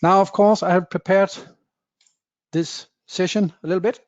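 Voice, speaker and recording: Man giving a software demo. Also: sits high, steady tone, normal speed, no echo. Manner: plain explanatory talk with frequent uh fillers, non-native phrasing. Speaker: software presenter. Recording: dull dry webinar audio.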